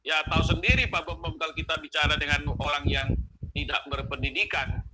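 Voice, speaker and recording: A man's voice: a little high, steady tone, fairly quick, a crowd in the background, poor audio. A man giving opinionated religious commentary in a conversational style, repeating phrases for emphasis and seeking agreement. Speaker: panelist on an online religious talk show.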